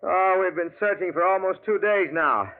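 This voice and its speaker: An actor playing an eager young assistant who keeps asking questions, in 1940s radio acting. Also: male voice, high voice, moderate pace. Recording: tinny old radio broadcast.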